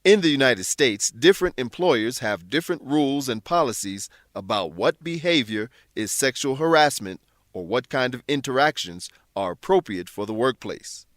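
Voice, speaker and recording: A man's voice: mid-range voice, expressive, moderate pace, a bit bright, dry recording, clean studio sound. A man hosting an English lesson, reading scripted explanations in a didactic way with stressed key words. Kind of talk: scripted instructional narration.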